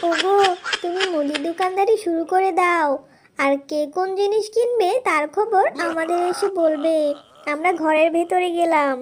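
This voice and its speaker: A woman's voice: extremely high pitch, normal speed. A woman voicing a cunning young fox wife in a cartoon, speaking in an exaggerated, conspiratorial way. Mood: smug. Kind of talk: Bengali cartoon voice acting.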